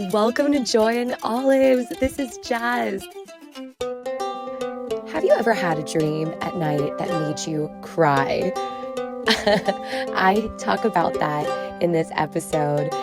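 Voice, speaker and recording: A woman's voice: fairly low pitch, animated, slow, pauses often. A young woman in her twenties opening her podcast episode, speaking to the listener in a friendly, upbeat way. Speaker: podcast host. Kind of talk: podcast intro. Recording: soft music underneath. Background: mandolin music.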